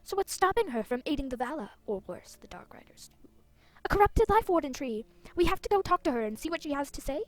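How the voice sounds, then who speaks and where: A woman's voice: high-pitched, very animated, quick pace, dry recording. A woman playing an earnest, eager young female rider hero from a fantasy game. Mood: alarmed, determined.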